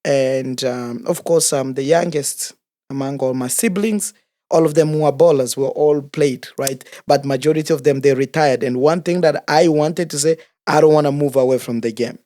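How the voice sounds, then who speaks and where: A man in his thirties from Congo talks as an interview guest about his coaching work. His voice sits at a middle pitch and comes across close and dry, with no room sound. He speaks earnestly and at an unhurried pace, with some fillers along the way. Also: mellow, no room sound. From Democratic Republic of the Congo. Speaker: guest, soccer coach and organizer. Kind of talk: casual interview conversation.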